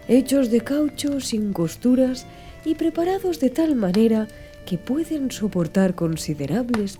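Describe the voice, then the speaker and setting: A woman narrates an audiobook in a soft, mellow voice. Her pitch moves in lively swings through the phrases, with music playing behind her.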